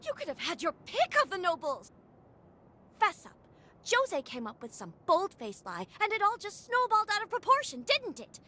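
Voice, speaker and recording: A woman's voice: very high voice, huge pitch swings, moderate pace, sharp tone, exaggerated voice. A woman playing a haughty, overprotective noble sister in a video game cutscene, speaking in a formal, dramatic manner. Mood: indignant.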